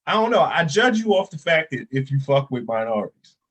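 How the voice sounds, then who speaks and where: Man, thirties, slightly high voice, blunt and animated, casual podcast talk. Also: brisk. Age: 30s. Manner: blunt, animated, swears freely. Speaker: podcast host. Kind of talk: casual chat.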